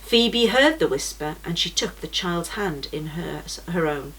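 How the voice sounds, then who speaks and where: A woman reading a children's story aloud for a church program, in a gentle, measured storytelling manner. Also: fairly low pitch, expressive, slightly rough, poor audio.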